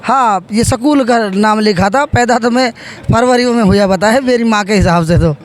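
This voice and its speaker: High-pitched man in his thirties. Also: moderate pace. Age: 30s. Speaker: politician, interviewee. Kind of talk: interview answers.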